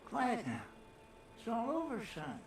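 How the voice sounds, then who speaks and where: An actor playing an aging crime boss in a video game, calm and soothing with quiet menace, speaking slowly and deliberately. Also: male voice, very high voice, noisy background, exaggerated voice. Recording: echoey, distant in-game audio under loud music.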